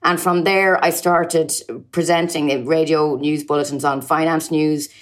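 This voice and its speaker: A woman's voice: low voice, steady tone, unhurried. A woman in her forties from Ireland with an Irish accent, a journalist answering interview questions fluently, with frequent ums and uhs. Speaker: journalist guest.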